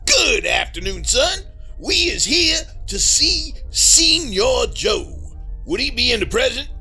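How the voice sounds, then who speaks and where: Backwoods Southern hunter, very creaky, slow drawling pace, courteous, dramatic, comedy audio drama. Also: male voice, very high voice, big pitch swings, pushed and bright, faint music behind, full character voice. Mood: polite, hearty. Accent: rural Southern US. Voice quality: gravelly, raspy. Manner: folksy, mock-formal, ungrammatical.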